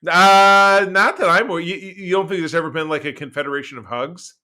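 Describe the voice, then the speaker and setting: A man co-hosting a podcast, reviewing TV in casual chat with an enthusiastic, emphatic and halting delivery that often repeats words. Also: high voice, pushed and bright. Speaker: podcast co-host.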